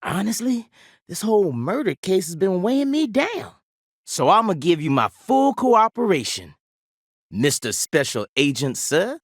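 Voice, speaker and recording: A man's voice: high-pitched, very animated, a little slow, dry recording, studio quality, exaggerated voice. A man playing a folksy, jovial small-town sheriff in a video game, with a rambling Southern US drawl. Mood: jovial, yielding.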